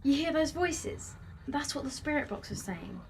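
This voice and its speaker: A woman's voice: high voice, animated, moderate pace, noisy background. An actress playing a feisty, stubborn young woman in an audio drama, challenging and sarcastic. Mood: sardonic, probing.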